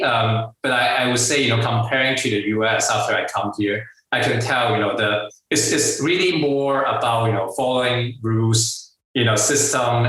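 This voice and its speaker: A middle-aged man with a Hong Kong accent and a bright, forward voice tells his story in a halting, filler-heavy way with frequent laughs. He sits some distance from the mic, and the room gives back a strong echo. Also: mid-range voice. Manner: halting, many fillers and restarts, laughs often. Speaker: guest entrepreneur. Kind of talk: casual interview storytelling. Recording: echoey room, far from the mic.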